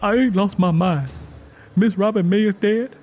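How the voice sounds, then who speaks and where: A woman's voice: medium pitch, normal speed, a little mellow, faint music behind, pitched above the performer's own voice, close to an everyday voice. A man voicing a terrified young Southern woman who speaks desperately and out of breath.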